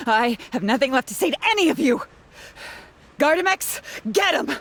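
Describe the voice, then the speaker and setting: Game villainess: shrill-high pitch, haughty, scheming, big dramatic delivery. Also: female voice, expressive, moderate pace. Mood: angry, commanding. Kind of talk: video game voice acting.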